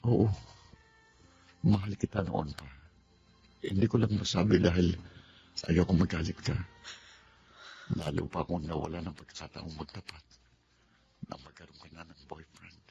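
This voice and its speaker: A man's voice: deep voice, steady tone, normal speed, creaky, pauses often, warm tone, soft background music. A man acting an earnest, devoted young Filipino suitor in a Tagalog radio drama. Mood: earnest confession. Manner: earnest, tender, hesitant. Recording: dull, slightly noisy radio recording.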